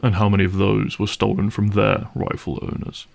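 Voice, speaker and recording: A man playing a gruff, cynical vampire hunter for hire in a dramatic audio roleplay, speaking in a wry, sardonic and menacing way. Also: on the low side, completely flat delivery, moderate pace. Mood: guarded, bargaining. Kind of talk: dramatic audio roleplay monologue addressed to listener.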